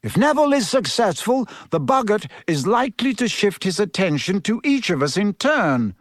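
A man voicing a kindly male schoolteacher in an audiobook, patient, cheerful and gently amused as he instructs. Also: sits high, very animated, moderate pace, dry recording, clean studio sound, voice pushed up. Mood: amused, instructing. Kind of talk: audiobook character voice.

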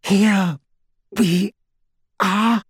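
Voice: medium pitch, big pitch swings, normal speed, a little mellow, slightly rough, no room sound, clean studio sound, pitched above the performer's own voice. A performer voicing an earnest, insistent teenage girl, a young witch who speaks politely and precisely, in an audiobook. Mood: urgent.

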